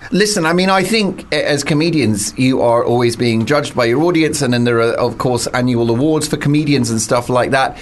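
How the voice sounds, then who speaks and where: Male talk show host, animated and emphatic, steering the panel. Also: medium pitch, brisk, few pauses, a little hiss or hum. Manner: animated, emphatic, rises on key words.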